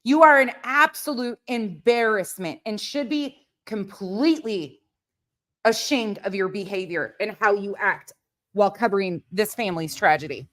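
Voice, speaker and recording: Woman doing live commentary, blunt and combative, swears a lot, average pitch. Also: lively intonation, normal speed, a bit bright. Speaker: livestream host.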